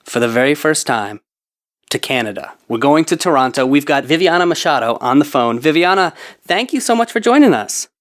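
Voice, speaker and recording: A man's voice: on the high side, lively intonation, brisk. A man hosting a food show, guiding a guest with upbeat questions. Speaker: podcast host. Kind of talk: hosted food interview.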